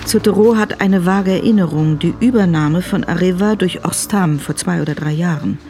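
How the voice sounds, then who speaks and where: A woman's voice: fairly low pitch, moderate pace, dark tone. A woman narrating a German radio drama in a sober, precise scripted style. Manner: sober, precise present-tense telling. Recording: music behind the voice.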